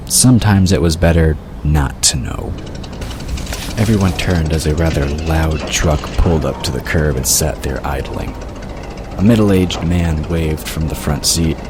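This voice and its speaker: Very deep-voiced male audiobook narrator. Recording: clear noise behind the voice. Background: ambient sound effects.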